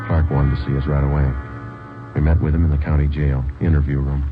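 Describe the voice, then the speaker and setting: A man's voice: extremely low pitch, fast, over music. A man playing a terse, matter-of-fact police sergeant narrating a case in an old radio drama, on a muffled recording. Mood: deadpan. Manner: deadpan, terse, procedural.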